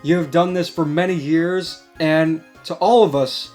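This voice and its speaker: A man with a high voice hosts a casual gaming discussion. He talks conversationally, with music playing faintly behind him. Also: steady tone, measured pace, darkish tone.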